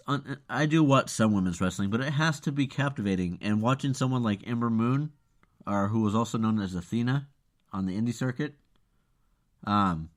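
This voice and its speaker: A podcast host with a cold, sounding nasal and congested, chatting bluntly and offhand. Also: male voice, lowish voice. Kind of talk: casual chat.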